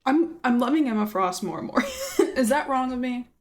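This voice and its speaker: A woman's voice: a little high, animated, normal speed, background noise. A woman hosting a reaction video with casual, chatty commentary.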